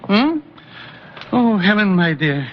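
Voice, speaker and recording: A man's voice: sits high, sing-song, slow, full character voice. An actor playing a frail, sentimental old man with a tender, courtly way of talking in an old-time radio drama. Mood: dreamy. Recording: dull old radio recording, faint music.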